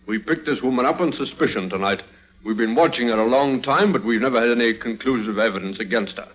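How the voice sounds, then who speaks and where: An actor playing a courteous, matter-of-fact police inspector in an old-time radio drama. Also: male voice, medium pitch, quick pace, fairly bright tone, natural voice, low-quality recording. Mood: official satisfaction. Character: brisk official police inspector, courteous and satisfied.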